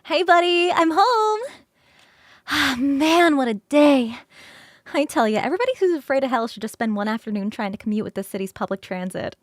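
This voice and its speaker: A woman voicing a young succubus roommate in an ASMR roleplay, casual, animated, chatty and affectionate. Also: high voice, no room sound, clean studio sound.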